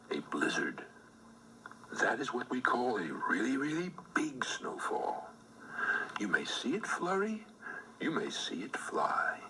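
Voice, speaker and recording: Very animated man reading a children's storybook. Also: mid-range voice, slight background noise, rough recording. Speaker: storybook reader.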